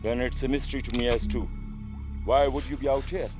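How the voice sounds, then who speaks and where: An actor playing a calm male rebel commander who questions a captive in a measured way. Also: mid-range voice, music in the background, lightly acted. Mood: probing. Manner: measured, interrogating. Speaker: armed group leader questioning a captive.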